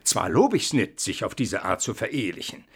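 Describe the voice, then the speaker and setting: An actor in a German radio play voicing a conciliatory priest who reasons in archaic, sermonizing phrases. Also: male voice, fairly low pitch, huge pitch swings, brisk, husky, heavily acted. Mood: pleading, soothing. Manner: unctuous, sermonizing, archaic speech. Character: conciliatory, measured village priest, pleading for reason.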